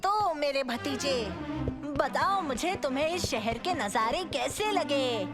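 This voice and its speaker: Earnest high-pitched cartoon boy. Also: lively intonation, takes it slowly. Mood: theatrical, scheming. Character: eager, reassuring young boy, helpful friend acting in a play. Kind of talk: animated cartoon voice acting. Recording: music behind the voice.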